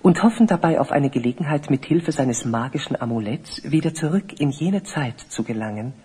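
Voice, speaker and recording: A dignified older parent and host, measured and hospitable. The voice is very hoarse and airy at a middling pitch, in formal audio-play delivery. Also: male voice, animated, mellow. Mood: courteous. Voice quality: very husky, breathy. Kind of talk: German fantasy audio play acting.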